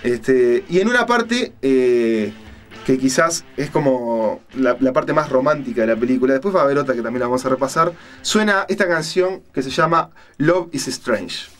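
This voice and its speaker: A man with a Uruguayan accent hosting a casual radio chat, retelling stories in a halting, colloquial way and searching for words. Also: mid-range voice, lively intonation. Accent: Uruguayan Spanish. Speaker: radio show host. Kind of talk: casual on-air chat, retelling a film and crime story.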